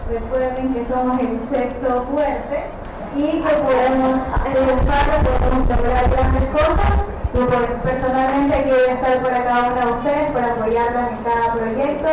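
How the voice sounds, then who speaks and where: A woman giving a formal, emphatic speech at a council event, with many fillers. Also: high voice. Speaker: vice mayor speaking at council event. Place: council chamber, indoors. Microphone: handheld mic. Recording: echoey hall, noisy, from far off.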